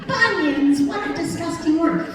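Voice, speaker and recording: A woman in her seventies does stand-up on a big theater stage, bantering with the audience and poking fun at herself in a high voice. She is picked up from far off, with a long echo around her and a crowd laughing behind her. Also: lively intonation, a little slow. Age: 70s. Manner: chatty, self-deprecating, works the crowd. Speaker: stand-up comedian. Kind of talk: stand-up comedy set. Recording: echoey theater recorded from far off.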